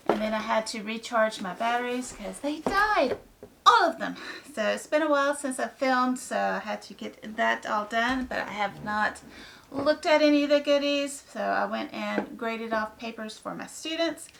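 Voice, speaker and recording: A woman with a hoarse, raspy voice from a head cold, chatting casually through an unboxing with lots of ums. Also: on the high side, expressive, moderate pace, background noise. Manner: chatty, rambling, many ums. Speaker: book vlogger.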